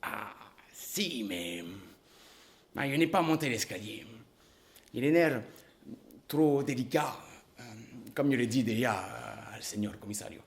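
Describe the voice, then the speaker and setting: A man's voice: mid-range voice, lively intonation, measured pace, long pauses. An actor playing a delicate, nervous male witness who speaks fussily and emphatically, with hesitations. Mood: fretful.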